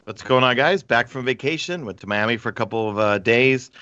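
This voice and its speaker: A man co-hosting a live podcast, a little nasal from a stuffy nose, talking in a relaxed, casual way. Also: mid-range voice, a little fast, no echo. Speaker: podcast co-host. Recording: dry, dull lo-fi mic.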